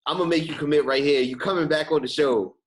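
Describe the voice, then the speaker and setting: A man's voice: on the high side, steady tone, fast. A man hosting a casual podcast chat, speaking effusively and emphatically and often repeating his phrases. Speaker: podcast host.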